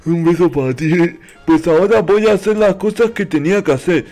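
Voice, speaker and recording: Naive game noob, played by a man: high-pitched, childlike, simple-minded, repetitive, with music underneath. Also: fairly quick, darkish tone. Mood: naive, cheerful. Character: naive, dim-witted childlike Minecraft noob, male. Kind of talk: improvised comedic game roleplay.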